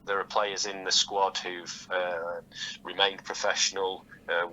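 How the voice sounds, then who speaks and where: A man giving measured, halting answers as a football manager interviewed over the phone, often repeating words as he goes. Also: sits low, flat delivery, sharp tone. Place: phone call. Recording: dull, lightly noisy phone call audio.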